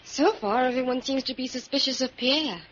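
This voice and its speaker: An actress playing a friendly, curious investigator's assistant in an old-time radio drama, polite and inquisitive. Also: female voice, sits high, normal speed, fairly bright tone. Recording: muffled, very noisy old radio recording.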